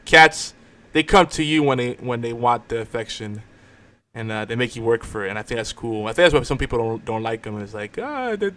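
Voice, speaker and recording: A man's voice: mid-range voice, lively intonation, fairly quick, a bit bright. A man hosting a casual podcast, bantering in a rambling way with repeated words and restarts. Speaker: podcast host. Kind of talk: casual banter on a live online show.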